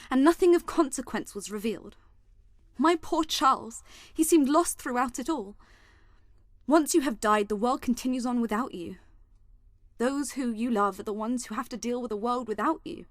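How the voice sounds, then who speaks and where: An actress in a radio play playing a dead wife who narrates sorrowfully and reflectively from beyond the grave. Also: female voice, a little high, dry recording, studio quality, natural voice.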